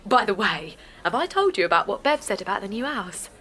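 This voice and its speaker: A woman's voice: medium pitch, big pitch swings, fairly quick, sharp tone. An actress playing a chatty, apologetic woman talking to a friend in a naturalistic audio drama. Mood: chatty, gossipy.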